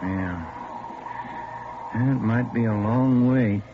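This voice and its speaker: Low, wry police detective. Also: male voice, slow pace, background noise. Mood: wry, easygoing. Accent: American. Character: steady, wry police detective, the sergeant's partner. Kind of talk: 1950s radio drama acting. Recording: dull old radio broadcast with music.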